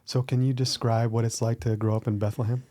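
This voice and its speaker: A man's voice: medium pitch, level tone, fast talker, mellow. A man hosting a podcast interview, asking short questions to draw out his guest. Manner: brief prompting questions. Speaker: podcast host.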